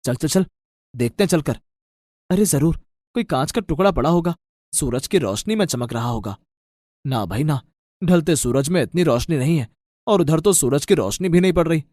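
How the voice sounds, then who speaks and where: A man playing a wary, blunt village farmer who questions things, in an animated moral story. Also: fairly high pitch, moderate pace, dry recording, voice pushed down. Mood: curious.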